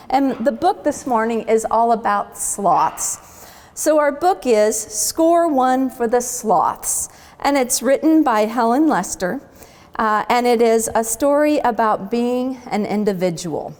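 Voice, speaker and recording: A woman's voice: fairly high pitch, expressive, measured pace, a little mellow. A woman working as a zoo educator, presenting a children's storytime in a reverberant barn. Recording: long echoing hall reverb.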